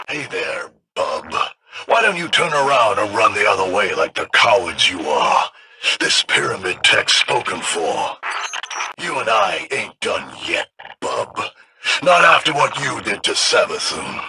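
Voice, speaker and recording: Gravelly, sneering low-voiced game villain. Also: male voice, wildly swooping pitch, sharp tone, exaggerated voice, rough recording. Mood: mocking, hostile. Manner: mocking, drawn-out sneering delivery. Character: taunting thuggish enemy creature, sarcastic and scornful. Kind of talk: video game villain dialogue. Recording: clear background noise.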